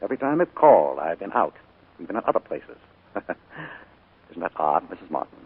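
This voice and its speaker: A sinister, soft-spoken fugitive. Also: male voice, low-pitched, big pitch swings, moderate pace, full character voice. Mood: sinister calm. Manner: quiet, smooth, with a menacing edge. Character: calm, sinister middle-aged man hiding from pursuers. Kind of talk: 1940s radio acting. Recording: tinny 1940s radio broadcast.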